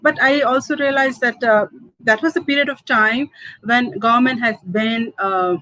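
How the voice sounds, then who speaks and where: A woman's voice: a little high, no echo, low-quality recording. Manipuri woman in her fifties recalling. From Manipur, India. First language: Hindi and Manipuri. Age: 50s. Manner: halting, many fillers, soft laughs. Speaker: food entrepreneur. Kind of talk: interview answers, personal story.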